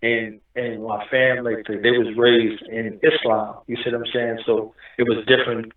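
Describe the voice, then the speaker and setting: A man's voice: medium pitch, flat delivery, normal speed. A man explaining his views at length, and he keeps checking in with "you understand what I'm saying." His voice arrives thin and phone-like, far from the mic in a very echoey space. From Newark, New Jersey. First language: Arabic. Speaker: guest. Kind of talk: casual interview talk. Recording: echoey, distant phone-line audio.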